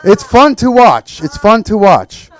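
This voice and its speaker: A man from Iran performing a stand-up set in a halting, conversational way. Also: sits high, sing-song, poor audio. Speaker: stand-up comedian. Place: small comedy open mic.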